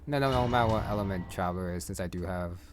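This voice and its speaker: A man's voice: low voice, fast, background noise. A man giving casual gameplay commentary as a streamer, rambling and thinking aloud as he plays. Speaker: gaming streamer. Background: game music.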